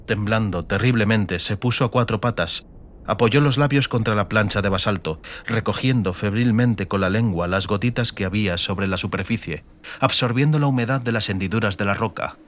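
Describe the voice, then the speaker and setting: Low-voiced man narrating an audiobook. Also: normal speed. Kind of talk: scripted dramatic narration. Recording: faint ambient noise behind.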